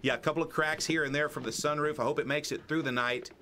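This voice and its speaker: A man giving excited play-by-play commentary on a grappling match. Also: fast talker, noisy background. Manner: excited, rising pitch during action.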